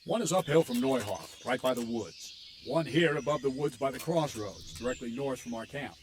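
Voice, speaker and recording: An actor playing a gruff, blunt medieval army captain in a video game cutscene, telling a grim tale in a steady, weighty way. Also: male voice, medium pitch, moderate pace, a little hiss or hum, lightly acted. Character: gruff middle-aged male army captain. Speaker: military captain.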